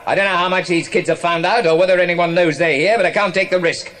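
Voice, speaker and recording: High-voiced, menacing English crime boss. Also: male voice, fairly even intonation, fast, a bit bright. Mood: brisk, commanding. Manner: commanding, threatening. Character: menacing, bossy criminal gang boss, a grown man. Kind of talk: audio drama acting. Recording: clearly noisy recording.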